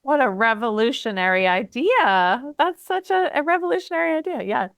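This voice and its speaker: A woman hosting an interview, encouraging her guest with frequent warm affirmations. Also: high voice, expressive, normal speed, vocal fry, no echo, studio quality. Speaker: podcast host.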